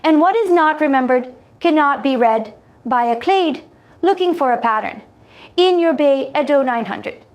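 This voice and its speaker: A woman voicing an alien trader in a science-fiction story, measured, precise and quietly merciful, with formal legalistic phrasing. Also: sits high, animated. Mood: cool, exacting.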